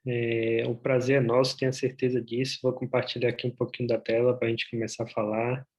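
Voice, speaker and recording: A man from Bahia, a gynecologist with a Bahian accent, giving an explanatory talk over a video call, often pausing on "é". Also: mid-range voice, completely flat delivery, darkish tone. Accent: Bahian Portuguese. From Bahia, Brazil. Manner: explanatory, with frequent "é" fillers. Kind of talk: online medical presentation.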